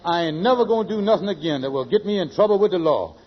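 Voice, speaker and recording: An actor playing an excitable, bumbling schemer in an old radio sitcom, stammering and repeating himself in folksy, ungrammatical speech. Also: male voice, high-pitched, fast talker. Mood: relieved. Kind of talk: old-time radio comedy acting. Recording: dull, faintly noisy vintage radio recording.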